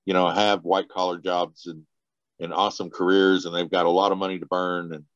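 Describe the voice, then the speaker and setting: A man's voice: sits low, level tone, brisk, no echo, muffled recording. A man from Texas, a guest on a podcast, talking casually and rambling with many restarts and laughs. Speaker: guest, party organizer.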